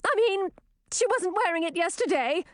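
A woman's voice: very high voice, expressive, normal speed, no room sound, studio quality, pitched above the performer's own voice. A woman voicing a vain, jealous young princess who speaks in an indignant, dramatic way. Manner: indignant, spluttering, blurting.

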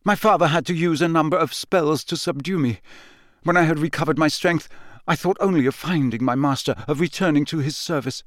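A man's voice: fairly high pitch, brisk, no room sound, clean studio sound, voice pushed up, natural voice. A man playing a dazed, coldly bitter young male prisoner who confesses in clipped, expressionless sentences. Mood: detached confession.

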